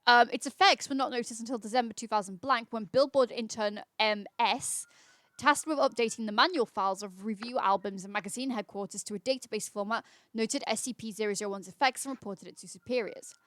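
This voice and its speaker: A woman's voice: a little high, brisk, a bit bright, no room sound. A woman hosting a casual livestream, reading aloud in an informal, chatty way. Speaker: livestream host. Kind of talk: casual livestream read-aloud with chat replies.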